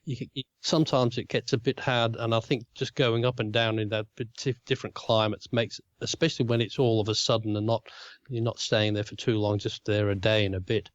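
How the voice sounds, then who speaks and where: A man co-hosting a casual podcast, throaty from a cold, talking in halting phrases he often restarts. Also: fairly low pitch, steady tone, no room sound, highs cut off. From Australia. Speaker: podcast co-host. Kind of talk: casual chat.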